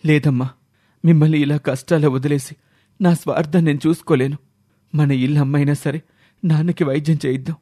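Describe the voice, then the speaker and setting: A man's voice: fairly high pitch, fairly even intonation, fairly quick, warm tone, lightly acted. A man acting an earnest, pleading young son. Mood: earnest, reassuring. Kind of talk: dramatised moral story voice acting.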